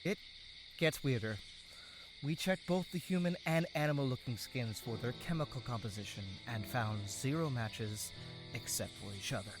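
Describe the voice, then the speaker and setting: A forensics analyst acted by a man in an audio drama, wry and cryptic. His mid-pitched voice draws out phrases for effect. Music plays clearly behind him. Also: animated, moderate pace, natural voice, rough recording. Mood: intrigued, ominous.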